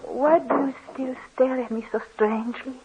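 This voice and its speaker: A woman's voice: sits high, fairly even intonation, normal speed, noisy background, close to an everyday voice. An actress playing a frail, grieving young French aristocrat woman in an old-time radio drama. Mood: anxious. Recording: dull lo-fi old radio recording.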